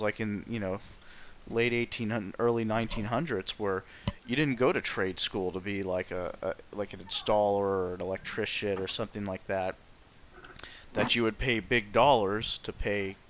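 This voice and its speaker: A man's voice: on the low side, fairly bright tone. A man talking casually on a stream, opinionated and emphatic in how he makes his points. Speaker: streamer chatting during a game playthrough. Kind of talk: casual chat.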